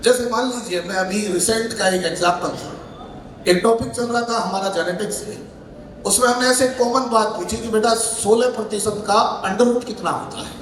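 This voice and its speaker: Hoarse man addressing an echoing hall. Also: high-pitched, normal speed. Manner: heartfelt, reflective address. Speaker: teacher at coaching institute. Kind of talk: speech at a public event. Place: large hall. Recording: distant voice in echoey hall with faint noise.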